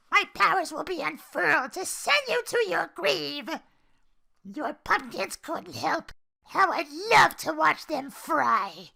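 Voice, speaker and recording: A podcast host voicing a bratty, power-hungry young princess, shrill and gravelly, declaiming theatrical verse. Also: bright, forceful tone, heavily acted.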